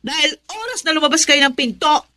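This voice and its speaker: A woman's voice: sits high, lively intonation, brisk, bright, forceful tone. A woman playing a bossy, superstitious and stubborn older sister in a Filipino radio drama, scolding in a domineering way. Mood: angry, stern.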